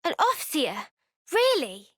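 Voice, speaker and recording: Young female soldier, high voice, lively intonation, proud, blunt, dry recording, game cutscene. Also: slow, no echo, full character voice. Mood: disbelieving protest. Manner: blunt, protesting. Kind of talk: video game cutscene acting.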